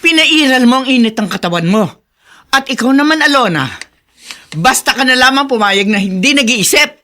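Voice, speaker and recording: A middle-aged mother in a radio drama, sharp-tongued and furious. Her high, piercing voice leaps up and down as she scolds. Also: lively intonation, normal speed. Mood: angry, scolding. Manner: scolding, emphatic.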